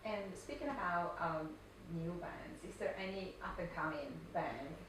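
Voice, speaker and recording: Woman, hesitant, polite, far from mic, echo, clear background noise. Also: on the low side, measured pace. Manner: hesitant, polite, many fillers. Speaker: interviewer. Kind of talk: interview questions. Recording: echoey, distant from mic, noisy.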